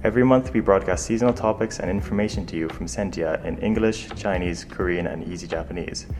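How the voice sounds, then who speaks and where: A man's voice: sits low, fast, slight background noise. A man from Ireland co-hosting a community radio show, chatting casually with lots of fillers. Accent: Irish. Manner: relaxed, conversational with many fillers. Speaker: radio co-host. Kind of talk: bilingual community radio chat.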